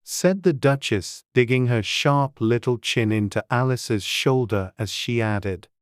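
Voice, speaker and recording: A woman narrates a storybook in an exceptionally low voice. It is scripted audiobook reading, recorded close and dry with no room sound. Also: measured pace, no echo.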